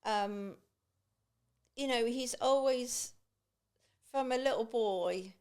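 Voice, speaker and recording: A woman's voice: a little high, slow pace, a little mellow, smooth. A woman guest on a podcast, talking casually with many restarts and fillers. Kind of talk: casual conversation.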